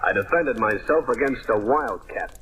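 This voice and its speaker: A man's voice: mid-range voice, lively intonation, moderate pace, lightly acted. Man playing a wisecracking old-radio private eye. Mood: wry narrating. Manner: wisecracking, tough-talking. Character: hard-boiled male private detective, wry and brisk. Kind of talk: old-time radio crime drama acting. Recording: noisy vintage radio broadcast recording.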